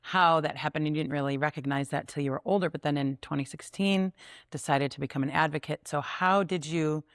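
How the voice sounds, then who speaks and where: A woman's voice: sits low, a little fast, no room sound, studio quality. A woman hosting an interview, encouraging and giving lots of affirming responses. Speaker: podcast host. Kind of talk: casual interview.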